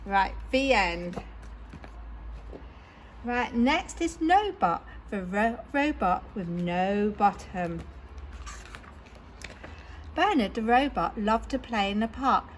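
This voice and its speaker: A woman reading picture books aloud to children in an animated, dramatic way. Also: mid-range voice, slow, pauses often, slight background noise, poor audio. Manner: animated, dramatic, with exclamations and sound words. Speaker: children's storyteller at a library story time. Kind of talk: expressive picture-book read-aloud.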